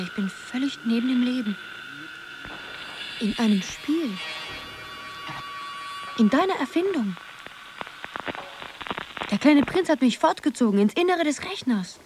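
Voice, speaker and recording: An actress playing a dreamy, playful young woman who is searching, in a poetic radio drama monologue. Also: a little high. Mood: lost, searching. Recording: loud music or noisy ambience behind.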